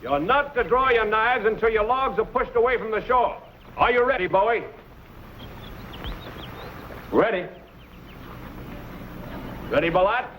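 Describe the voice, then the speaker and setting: Stern frontier referee calling out, really high voice, dull old radio recording. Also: male voice, lively intonation, moderate pace, pauses often. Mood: commanding. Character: loud, stern male duel referee on the frontier. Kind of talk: old-time radio western acting. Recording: muffled old radio recording, slight noise.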